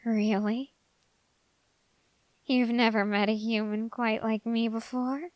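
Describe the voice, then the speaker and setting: A woman voicing an ambitious, dark-hearted young man in an ASMR roleplay, speaking in formal, halting phrases with drawn-out pauses. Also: a little high, steady tone, no echo. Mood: hushed, longing. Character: ambitious, dark-hearted young male human bargaining with a demon.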